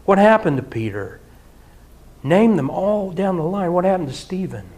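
A middle-aged man preaching a sermon in a church hall, animated and often breaking into mock singing and laughter. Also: high-pitched, normal speed. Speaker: pastor. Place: church hall with projector screen.

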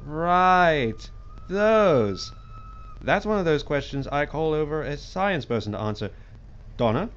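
An actor playing a smarmy, self-satisfied corporate boss with a glib, patronizing manner in an audio drama. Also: male voice, a little high, lively intonation, slow pace, fairly bright tone, clear, resonant tone. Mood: breezy, evasive. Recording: dull, noisy audio.

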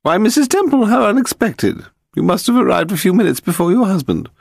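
A man's voice: high-pitched, sing-song, a little fast, creaky, a little mellow, dry recording, studio quality, voice pushed up, heavily acted. A man voicing a haughty, amused older aristocratic lady in an audiobook, with a theatrical, condescending manner. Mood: suspicious, arch.

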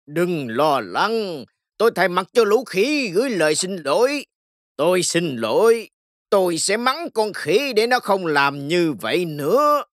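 A woman's voice: lowish voice, very animated, unhurried, no room sound, clean studio sound. A woman voicing a dignified, stern lion king of the jungle in a children's cartoon, firm and formal. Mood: stern, apologetic.

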